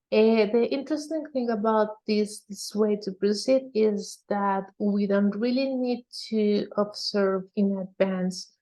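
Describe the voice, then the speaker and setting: Slow, dark-voiced woman presenting research. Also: medium pitch. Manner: halting, frequent hesitations. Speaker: researcher presenting a conference talk. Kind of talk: technical slide presentation.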